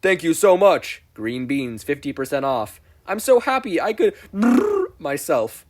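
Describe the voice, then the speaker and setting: A tiny, manic puppet salesman hawks his deals in a high, loud voice. The pitch leaps around wildly as he pushes every offer like a pitchman. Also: sing-song, measured pace. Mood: ecstatic. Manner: hawking like a pitchman.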